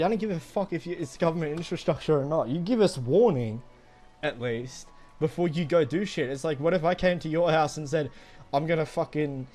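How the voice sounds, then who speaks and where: A man's voice: fairly high pitch, a little mellow. Young man swearing through a rambling story, music behind. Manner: profane, rambling. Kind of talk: casual anecdote telling among friends. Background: background music.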